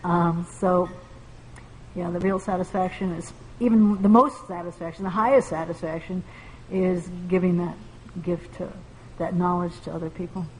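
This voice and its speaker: A woman leading a devotional group discussion, speaking earnestly and haltingly with many fillers, in a large room with a group. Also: fairly low pitch, steady tone, a little mellow. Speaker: class discussion leader. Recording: distant echoey hall, faint hiss.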